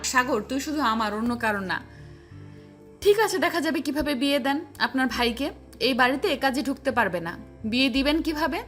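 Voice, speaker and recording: A woman's voice: high-pitched, animated, moderate pace, a bit bright, faint music behind. A woman playing a bold, obsessive young woman in an audio love-story drama, insistent and possessive. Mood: possessive, defiant.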